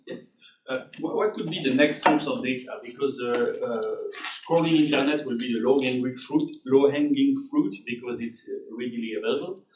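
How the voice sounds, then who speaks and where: A man's voice: medium pitch, moderate pace, darkish tone. A man moderating a Q&A hesitantly asks a follow-up question, stumbling over fillers. His voice sounds distant and echoey, with faint noise underneath. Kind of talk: conference Q&A.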